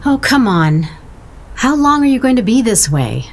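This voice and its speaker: Wry, animated, nudging friend. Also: female voice, on the high side, normal speed, slight background noise. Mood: coaxing. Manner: dryly sarcastic, coaxing. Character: painter's blunt, wry, nudging woman friend. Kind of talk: audio drama acting.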